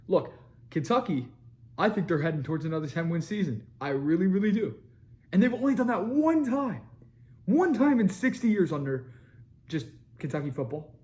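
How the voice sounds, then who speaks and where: A young man hosting a sports show, ranting with energy and repeating his points for emphasis, his voice a little raspy from screaming. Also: high-pitched, dark tone. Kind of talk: fired-up sports commentary to camera.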